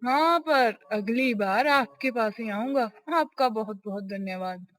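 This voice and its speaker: High-voiced courteous landlord played by a woman. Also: unhurried, a bit bright. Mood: pleased, grateful. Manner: formal, deferential. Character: courteous, trusting male landlord, earnest and grateful. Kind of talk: Hindi moral-story cartoon dialogue. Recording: faint music behind the voice.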